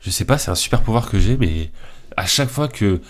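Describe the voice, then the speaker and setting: Low-voiced young man, congested, casual. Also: expressive, brisk. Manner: informal, slangy, hesitant delivery. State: congested from a cold. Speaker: video creator. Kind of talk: casual tier list commentary.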